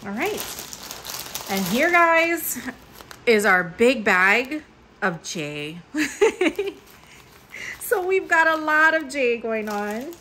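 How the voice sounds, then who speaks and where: Young woman hosting a craft unboxing, chatty and upbeat, bright tone, faint background hiss. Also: high-pitched, big pitch swings, drawn-out words, pauses often. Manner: chatty, upbeat, many fillers. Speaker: crafting channel host. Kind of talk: casual unboxing commentary. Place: indoors at a table. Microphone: overhead camera mic, hands-only framing.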